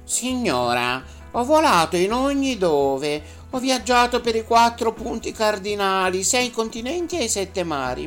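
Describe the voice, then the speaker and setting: A woman's voice: on the low side, pitched above the performer's own voice. A woman voicing a kind, stately eagle who answers in a solemn, reassuring way. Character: kind, grand old eagle.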